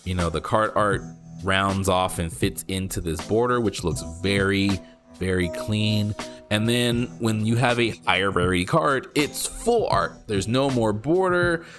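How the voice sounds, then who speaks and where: A man who is not a native English speaker, casually thinking aloud while he reads and reacts to a card game article, rereading lines and breaking into excited exclamations. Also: fairly low pitch, unhurried, music in the background. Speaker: card game content creator.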